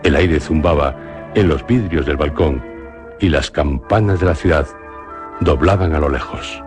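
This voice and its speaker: A man with a Spanish accent narrating a suspenseful radio drama in a slow, grave, measured way. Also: extremely low pitch, music in the background. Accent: Spain.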